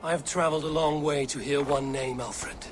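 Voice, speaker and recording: An actor playing a stern, watchful Norse warrior in a video game, with a terse and grave delivery. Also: male voice, a little high, steady tone, fairly quick, noisy background, lightly acted. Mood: guarded, challenging. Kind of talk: dramatic video game acting. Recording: game audio under loud music. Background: loud music.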